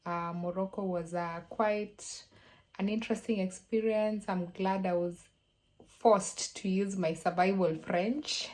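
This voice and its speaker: A woman talking casually in a travel vlog, unhurried and soft-spoken, with light laughs between phrases, recorded on a phone held at arm's length. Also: fairly low pitch. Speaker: travel vlogger.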